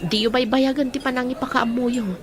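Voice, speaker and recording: A woman's voice: fairly high pitch, fairly even intonation, normal speed, over music, natural voice. A woman with a Filipino accent playing a concerned, probing young friend in a radio drama. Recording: busy restaurant chatter and clinking glasses.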